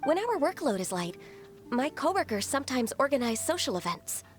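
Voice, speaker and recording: A woman voicing a gentle, shy, dutiful half-human young woman in a video game, speaking politely and formally with some hesitance. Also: sits high, big pitch swings, brisk, faint music behind. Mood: reserved, wistful. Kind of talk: video game character dialogue.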